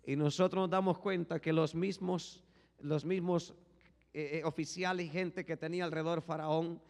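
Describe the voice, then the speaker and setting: A male pastor preaching a fervent sermon, swinging from hushed tones to shouting and repeating "brothers" as a refrain. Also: on the high side, steady tone, moderate pace. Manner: repeats "hermanos" as a constant refrain. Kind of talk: Spanish-language sermon. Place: church sanctuary. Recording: long echo of a large hall.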